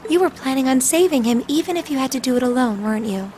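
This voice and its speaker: A gentle young student from a video game, speaks in a high, emotive voice. She sounds caring and soft-hearted, with running water clearly audible behind her. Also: animated, fast talker, a little hiss or hum. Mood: warm, knowing. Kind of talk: dramatic video game voice acting. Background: running water ambience.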